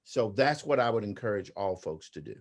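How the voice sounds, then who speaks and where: A man's voice: lowish voice, expressive, fairly quick. A middle-aged man answering as an interview guest, conversational and earnest, repeating phrases for emphasis. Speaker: interview guest, graphic novelist and artist.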